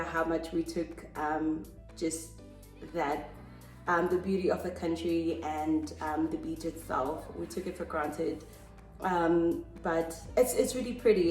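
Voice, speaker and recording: A chatty South African woman and mother, hosting a casual family Q&A and pausing often with ums. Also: on the low side, reverberant, rough recording. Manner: chatty and hesitant, with frequent ums.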